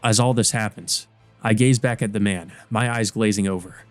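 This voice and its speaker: A man's voice: on the low side, fairly even intonation, moderate pace, no room sound, soft background music, lightly acted. A man playing a weary, wounded teenage boy who narrates his own visual novel story in the first person. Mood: grave.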